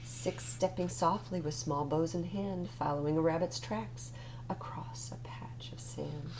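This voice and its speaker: A woman reading picture books aloud for children's story time, in an expressive, deliberate storytelling manner. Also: deep voice, noisy background. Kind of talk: read-aloud picture book narration.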